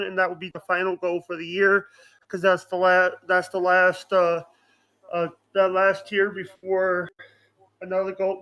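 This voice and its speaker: A man chatting casually as a livestream guest, halting, often repeating phrases and starting over. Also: high voice, fairly bright tone, rough recording. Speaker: podcast guest.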